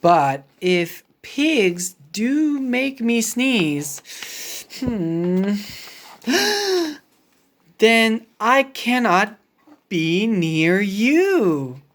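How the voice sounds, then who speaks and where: A woman voicing an anxious male elephant from a children's picture book, halting and dramatic. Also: fairly high pitch, big pitch swings, full character voice. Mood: despairing. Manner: halting, drawn-out, dramatic delivery.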